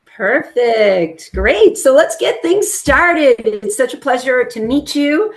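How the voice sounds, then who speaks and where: A woman's voice: high voice, expressive, slow. A woman whose first language is Italian, giving a chatty, upbeat webinar presentation on a video call. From United States. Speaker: webinar presenter, trade expert. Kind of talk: casual presentation.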